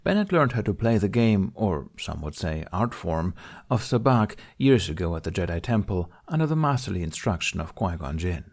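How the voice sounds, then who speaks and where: Low-voiced man narrating fiction. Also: big pitch swings, moderate pace, darkish tone. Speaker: audiobook narrator.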